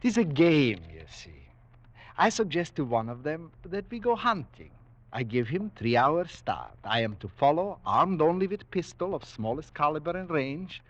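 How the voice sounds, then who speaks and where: An actor playing a cultured, cold-blooded aristocratic general in a 1940s radio drama, courtly, formal and boastful, with measured relish. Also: male voice, fairly high pitch. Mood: urbane menace. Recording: dull vintage 1940s radio recording.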